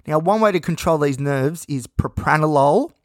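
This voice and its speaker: A man with a slightly high, croaky voice talks through a casual solo podcast. He is nervous and rambling, with fillers, restarts and a dry mouth. The recording is close and dead, with no room sound. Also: moderate pace, no room sound. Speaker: podcast host.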